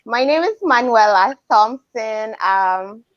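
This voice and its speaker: A Ghanaian woman in her thirties talks over a video call, slow and calm. Her voice is fairly high, and the audio is dry and a bit dull. She speaks softly and laughs lightly now and then. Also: dry recording. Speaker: guest. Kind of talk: casual video interview.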